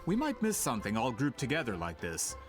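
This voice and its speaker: A man voicing a courteous, driven, smooth-talking expedition leader in a video game, somewhat theatrical. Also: mid-range voice, huge pitch swings, brisk, faint music behind. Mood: focused, commanding.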